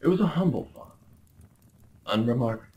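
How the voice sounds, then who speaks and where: A man's voice: mid-range voice, lively intonation, a little fast, warm tone, lightly acted. A man playing a smug, possessive crown prince in a roleplay audio drama, speaking with formal, condescending politeness and theatrical flair. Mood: tender, obsessed. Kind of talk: roleplay ASMR acting.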